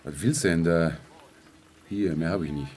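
Actor as a deep-voiced, laconic buddy. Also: male voice, moderate pace, darkish tone, close to an everyday voice. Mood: laconic, casual. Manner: colloquial, clipped phrases. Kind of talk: naturalistic radio drama acting. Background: music.

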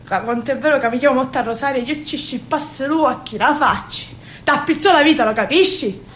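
High-voiced, brash young Sicilian woman. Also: brisk, fairly bright tone. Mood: tender, nostalgic. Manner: emotional, swinging between confiding and exclaiming. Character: Rosaria, brash, dreamy young Sicilian woman, poor and yearning. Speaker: stage actress. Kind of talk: theatrical monologue in Sicilian-inflected dialect. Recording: roomy sound, faint low rumble.

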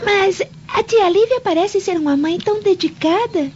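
A woman's voice: extremely high pitch, moderate pace, a little mellow. A woman playing an earnest, girlish young daughter from ancient Rome in a radio drama. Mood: eager. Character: young Roman girl, eager, lovestruck daughter. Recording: dull lo-fi audio, faint background noise.

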